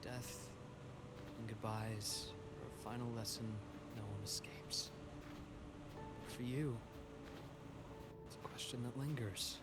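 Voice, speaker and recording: Loud music sits behind a gentle, reflective male doctor in a video game. He talks in a measured, reassuring manner, like someone consoling an old friend with quiet philosophy. The pitch is an ordinary male middle. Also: fairly even intonation. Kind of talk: video game story voice acting.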